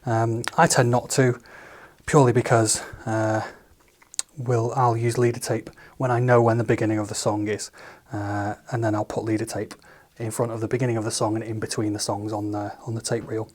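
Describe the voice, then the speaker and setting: Man calmly explaining studio gear. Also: on the low side, normal speed. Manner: relaxed, methodical step-by-step explaining with fillers. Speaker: studio engineer demonstrating equipment. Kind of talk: hands-on tutorial narration.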